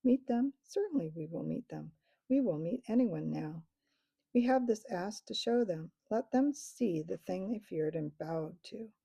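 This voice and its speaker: A woman's voice: mid-range voice, expressive, unhurried, vocal fry, dark tone, slightly rough, dry recording, full character voice. A woman reading aloud, voicing a stern young king with stately, old-fashioned speech. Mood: rousing.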